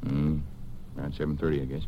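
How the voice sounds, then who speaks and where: An actor with an American Western accent playing a folksy, guarded rancher under suspicion in a 1950s radio western. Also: male voice, very deep voice, flat delivery, fast talker, lo-fi sound. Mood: guarded, hesitant. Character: defensive rancher, male murder suspect, quick to bristle. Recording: noisy vintage radio drama recording.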